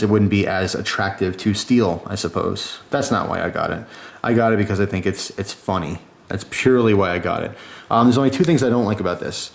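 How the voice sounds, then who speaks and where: A man's voice: fairly low pitch, slight background noise. A man giving a casual product review in a chatty, informal and enthusiastic way.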